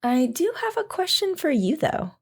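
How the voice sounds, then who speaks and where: A woman's voice: high-pitched, sing-song, moderate pace. A woman playing a thoughtful, philosophical mother being interviewed on the radio in an audio drama. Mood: reflective.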